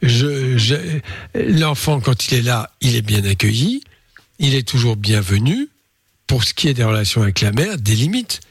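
A man's voice: medium pitch, animated, normal speed, breathy edge, no room sound. A man co-hosting a radio advice show, laying things out firmly and didactically. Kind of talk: advice talk.

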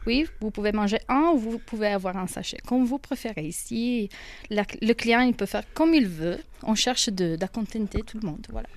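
A woman's voice: a little high, moderate pace, no echo. A woman from Italy with an Italian accent, a shop owner answering interview questions cheerfully and laughing often.

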